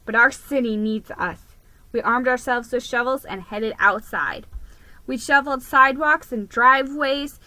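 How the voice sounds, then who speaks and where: Slow, bright-voiced woman reading dramatically. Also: a little high, dry recording, poor audio. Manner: deliberate, theatrical. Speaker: storybook reader. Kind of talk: dramatic reading of a children's story.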